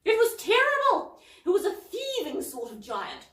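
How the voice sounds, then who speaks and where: A woman's voice: very high-pitched, wildly swooping pitch, normal speed, fairly bright tone, pitched above the performer's own voice, exaggerated voice. A woman voicing a stern, prim older woman who is easily offended, recorded in a roomy space at some distance from the mic. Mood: gossipy. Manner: prim, haughty.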